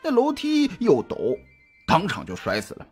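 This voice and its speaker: A man acts out an easygoing private detective in an audio drama. The voice is husky and a little high, swinging widely in pitch as he chats in a halting, colloquial way. Music plays underneath. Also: wildly swooping pitch, a little mellow, exaggerated voice. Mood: casual, explaining. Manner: halting, colloquial, with drawn-out hesitations. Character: jovial, chatty male private detective, easygoing friend. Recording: music behind the voice.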